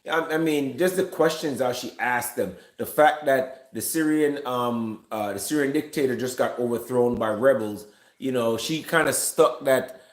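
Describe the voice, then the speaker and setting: A man's voice: medium pitch, moderate pace. A man giving casual, off-the-cuff reaction commentary in an emphatic, conversational way, often repeating himself. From Jamaica. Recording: roomy, echoing room sound.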